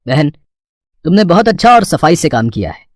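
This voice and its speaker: A man plays a kindly male sweet-shop owner in a cartoon story. He is fair and measured, with a businesslike way of talking and a dry, close sound. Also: a little high, big pitch swings, dry recording.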